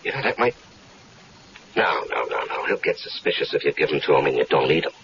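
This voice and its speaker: An actor playing a wary male lover drawn into a murder plot in a 1970s radio drama, hesitant and doubtful, with halting speech. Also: extremely low pitch, lively intonation, gravelly, heavily acted. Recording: dull old radio broadcast with faint hiss.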